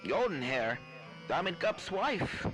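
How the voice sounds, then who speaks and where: A man's voice: high-pitched, big pitch swings, takes it slowly, exaggerated voice. A man voicing a fretful, kindly eccentric professor pony in a fan story reading, fussy and theatrical. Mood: grave, anxious. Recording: loud game music under the voice.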